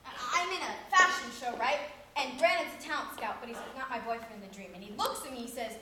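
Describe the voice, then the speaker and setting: A flustered young woman rambles, halting and laughing at her own story, as a woman acts her out in a comic stage monologue. Her voice sits very high and bright. The sound bounces around a very echoey room. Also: slight background noise. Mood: flustered rambling.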